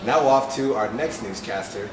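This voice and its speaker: A man playing a genial 1920s news anchor with a gravelly voice in an amateur mock broadcast. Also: on the low side, moderate pace, close to an everyday voice. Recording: echoey, noisy, distant mic.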